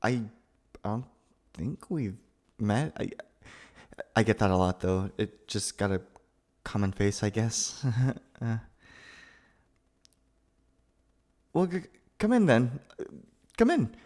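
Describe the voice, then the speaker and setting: A young man acting as a nervous, gentle, geeky roommate in an ASMR roleplay, stammering and rambling in a flustered, self-deprecating way. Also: lowish voice, very animated, measured pace, long pauses. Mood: flustered, apologetic.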